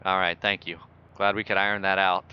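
A man's voice: sits low, level tone, fairly quick, smooth. A man improvising as an air traffic controller in a flight simulator game, bossy, sarcastic and mock-authoritative. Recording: muffled voice chat with faint noise.

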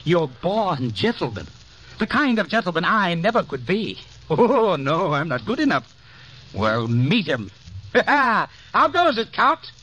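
A man's voice: sits high, sing-song, measured pace, sharp tone, full character voice. An actor playing a bitter, mocking rich man with a showy, sneering manner in a vintage radio drama. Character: bitter, mocking, wealthy host, cruelly theatrical. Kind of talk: 1950s radio drama acting. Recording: noisy vintage radio recording.

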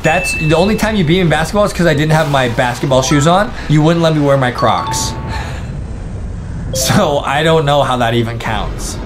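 Excitable young man over music. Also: on the high side, moderate pace. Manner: excitable, kid-friendly, slangy. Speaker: reaction video host. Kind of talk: playful commentary on cartoon episodes. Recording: music bed under the voice. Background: background music.

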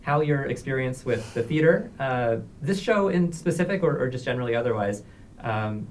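A young man acts as interviewer, asking questions in halting, tentative phrases. He sounds slightly distant from the microphone, with faint noise around him. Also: mid-range voice, expressive. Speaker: interviewer, co-host.

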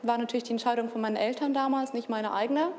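A woman's voice: a little high, fairly even intonation, fast, darkish tone, big-room sound, slight background noise. A woman in her twenties from Ukraine, a student, introducing herself in a matter-of-fact way. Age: 20s. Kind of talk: interview.

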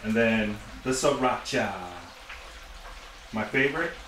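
A man hosts a casual home cooking vlog, talking in short, playful bits at a middling pitch. He sounds a way off from the mic in a roomy kitchen, with loud clatter and sizzling around him. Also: slow pace, recorded from a distance, background noise. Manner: playful, short offhand phrases. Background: frying, dishes and cutlery clatter.

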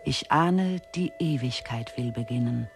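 An actor voicing a dreamy, lyrical river, reciting verse solemnly and lingeringly in a radio play. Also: male voice, mid-range voice, measured pace, soft background music, lightly acted.

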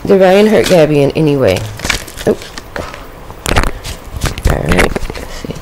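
A woman's voice: low-pitched, big pitch swings, unhurried, a little mellow, a little hiss or hum, low-quality recording. A woman doing a casual tarot reading at a home table, chatting to the spirit and her viewers. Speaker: tarot reader.

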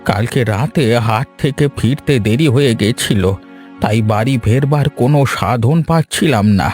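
A man's voice: medium pitch, moderate pace, darkish tone, close to an everyday voice. A man voicing a jittery, superstitious village man afraid of ghosts in a horror-comedy cartoon, anxious and exclaiming, with music behind. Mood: shaken.

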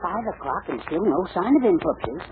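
A man's voice: sits high, sing-song, a little fast. A man playing a small, meek, fretful middle-aged husband in a 1940s radio comedy. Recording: tinny 1940s radio recording, faint noise.

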